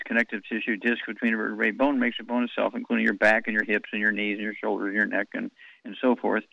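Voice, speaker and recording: A man's voice: medium pitch, vocal fry, no echo, dull audio. A man hosting a call-in health show on the radio, blunt and wisecracking, rattling off long lists of advice.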